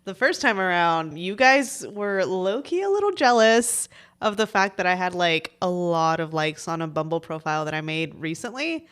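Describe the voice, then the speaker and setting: Lower-voiced woman in her forties. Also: sing-song, moderate pace, a bit bright, clean tone. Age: 40s. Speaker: podcast co-host. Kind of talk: casual conversational banter.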